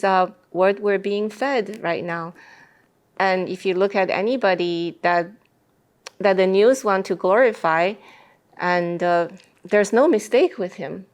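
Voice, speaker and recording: A woman speaking as an interview guest in a thoughtful discussion, explaining her ideas with deliberate phrasing. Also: medium pitch.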